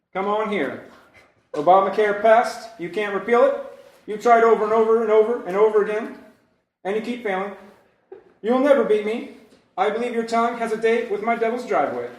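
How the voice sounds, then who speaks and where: Guy doing a cocky president speech, crude n taunting, high voice, kinda far from the mic. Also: lightly acted. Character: male U.S. President, brash, taunting, mock-solemn public address. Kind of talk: comedic mock presidential address. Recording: roomy, distant-mic pre-recorded sound.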